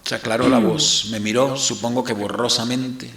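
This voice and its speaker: A man's voice: mid-range voice, steady tone, moderate pace, reverberant, a crowd in the background, lightly acted. An actor playing a bitter condemned priest, narrating his story confessionally in an audio drama. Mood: bitter, reflective. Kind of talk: scripted first-person audio drama narration.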